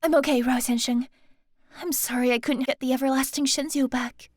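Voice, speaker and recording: Woman playing a high-voiced proud magical fighter. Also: fairly even intonation, brisk, no room sound, clean studio sound. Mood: apologetic. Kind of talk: audio drama acting.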